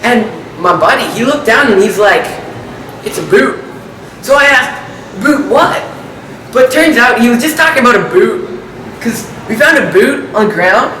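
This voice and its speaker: Guy putting on a goofy earnest canadian woodsman, high voice, far from the mic in a really echoey room. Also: animated, normal speed, sharp tone, exaggerated voice. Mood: storytelling. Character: silly, earnest, whiny stereotypical Canadian backwoods man. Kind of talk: improvised comic character banter. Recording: very echoey, distant, some background noise.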